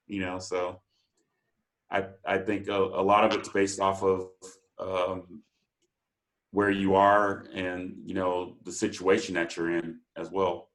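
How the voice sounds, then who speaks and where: A man's voice: low voice, flat delivery, takes it slowly, fairly bright tone. A man taking part in a group discussion, speaking in a hesitant, easygoing way with many fillers. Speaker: group participant.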